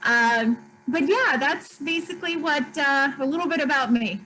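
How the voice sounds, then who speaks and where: A woman's voice: high voice, lively intonation, normal speed, sharp tone, rough recording. A woman in her thirties or forties, from Colombia, speaking openly and earnestly as a guest on a video call interview. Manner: open, earnest, speaks of hard times steadily. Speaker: store owner, guest.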